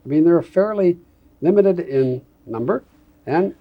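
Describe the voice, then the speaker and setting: A man's voice: fairly high pitch, lively intonation, a little slow, dull audio. A man interviewing a guest in a conversational interview, asking questions in a casual, musing tone.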